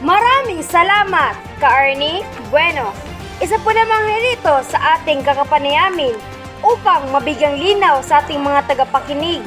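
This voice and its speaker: A high female voice acted by a woman as a courteous radio field reporter. She asks formal, polite interview questions in a radio play, with faint music behind her. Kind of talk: mock live radio interview.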